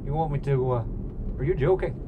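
A man playing a hesitant, bewildered taxi driver in an audio comedy drama, halting and deferential. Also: medium pitch, phone-quality sound, close to an everyday voice. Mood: incredulous. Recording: loud car noise behind.

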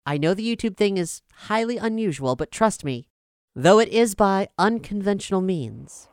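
A podcast host with a medium-pitched voice. The recording is dry and close, with very little room around it. The delivery is that of someone presenting and discussing role-playing games. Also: animated, moderate pace, clean tone, no room sound. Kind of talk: scripted promotional narration, then casual chat.

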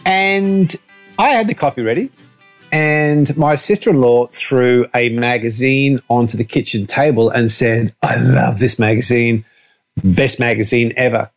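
A man's voice: medium pitch, a little slow, faint music behind. Jovial male radio host. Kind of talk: casual podcast chat.

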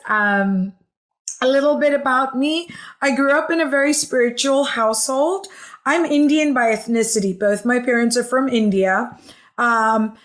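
A woman in her forties, from Hong Kong, speaks as a podcast guest with a fairly high voice. She tells her story in an enthusiastic, chatty way, sprinkled with "like" and "um". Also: fairly bright tone. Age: 40s. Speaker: podcast guest, healing coach. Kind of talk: conversational storytelling interview.

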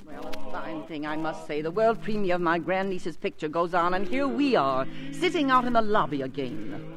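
An actress playing a fussy older great-aunt in a 1950s radio drama, dry and sharp-tongued. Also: female voice, on the low side, animated, moderate pace, over music, natural voice. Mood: tart, exasperated.

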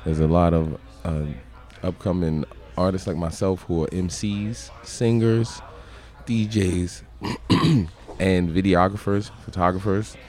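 A man with a deep voice, a guest musician in a podcast interview at a crowded restaurant, talking in laid-back slang and swearing casually. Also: fairly even intonation, unhurried, a little mellow.

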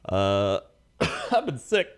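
Laid-back man with a smooth lower voice. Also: huge pitch swings, takes it slowly. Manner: laid-back, rambling, easygoing. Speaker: podcast co-host. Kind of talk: casual podcast chat.

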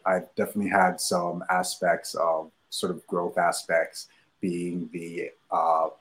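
A man, a startup founder answering interview questions in a measured way with many fillers. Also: low-pitched, rough recording. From Nigeria.

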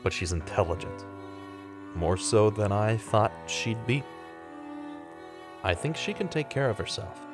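Man playing a grave low-voiced investigator. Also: big pitch swings, unhurried, long pauses. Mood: brooding. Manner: grave, dramatic inner narration. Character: earnest male investigator hunting a killer in monster-haunted woods. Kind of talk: audio horror drama acting. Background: loud music.

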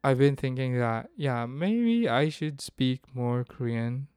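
A man's voice: mid-range voice, sing-song, slow, a little mellow, clear, resonant tone, no room sound, studio quality. A man from the Philippines, hosting a casual solo podcast and thinking aloud with frequent restarts. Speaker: podcast host.

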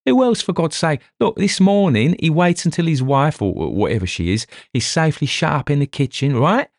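A man's voice: medium pitch, sing-song, moderate pace, no room sound, studio quality, pitched above the performer's own voice. A man voicing a lazy, foul-tempered police detective who grumbles and blusters coarsely. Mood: blustering.

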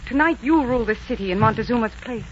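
An actress playing a noble, steadfast young Aztec princess in earnest 1940s radio acting style. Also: female voice, a little high, quick pace, close to an everyday voice. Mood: urgent pleading. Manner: earnest, solemn, theatrical. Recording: muffled 1940s radio recording, faint noise.

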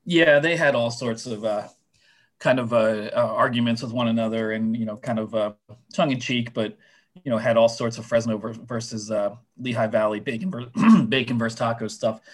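A man whose voice is going hoarse, chatting casually on a sports podcast with lots of fillers and restarts. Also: medium pitch, steady tone, moderate pace. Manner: rambling, many fillers and restarts. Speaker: minor league baseball writer guest.

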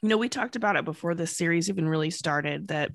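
A woman's voice: lowish voice, fast talker, gravelly, no echo, studio quality. Woman podcast host fighting a cold. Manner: chatty, laughs easily. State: getting a cold, losing her voice. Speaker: podcast host, sports beat reporter. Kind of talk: casual sports podcast chat.

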